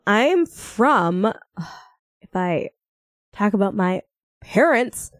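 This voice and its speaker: A woman's voice: medium pitch, sing-song, extremely slow, dry recording. Young American woman, podcast co-host, playful and rambling, giggly, dry close sound. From Minnesota, United States. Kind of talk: casual chat.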